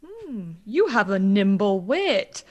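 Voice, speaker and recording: A woman's voice: mid-range voice, sing-song, slow pace, highs cut off. A woman playing a melancholy, sardonic cynic in a Shakespearean radio play, dry and disdainful. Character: melancholy, sardonic cynic who rails at the world.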